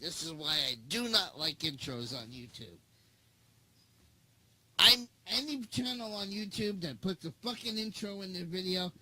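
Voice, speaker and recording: Profane ranting man, bright and edgy. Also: a little high, breathy edge, rough recording. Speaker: channel host. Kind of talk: ranting casual monologue.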